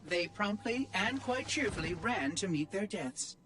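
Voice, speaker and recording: High-pitched, fussy game AI. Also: male voice, animated, a little slow, pushed and bright, full character voice. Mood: slyly probing. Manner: precise, prim, patronising phrasing. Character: fussy, condescending male artificial intelligence machine, sly and verbose. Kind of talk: video game cutscene acting.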